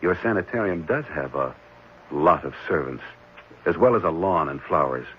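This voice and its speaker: A man's voice: a bass voice, lively intonation, moderate pace. A man playing a concerned, thoughtful and somewhat puzzled ordinary man in a 1970s radio drama, speaking conversationally. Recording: dull, slightly noisy 1970s radio recording.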